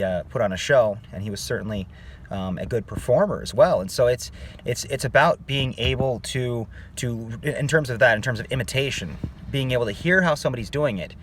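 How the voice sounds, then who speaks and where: A man explaining singing technique in a relaxed, conversational way, often turning to the viewer with "okay?" His voice sits at an ordinary middle pitch. A faint noise stays under the recording. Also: a little fast. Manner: conversational, addresses viewers as "guys", frequent "okay?" check-ins. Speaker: voice teacher. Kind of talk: casual online singing lesson.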